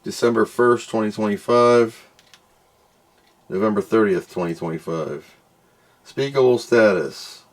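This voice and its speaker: A man's voice: fairly low pitch, unhurried, pauses often. A man doing casual hands-on product commentary, muttering to himself as he works and reading out screens. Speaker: product reviewer doing an unboxing and test.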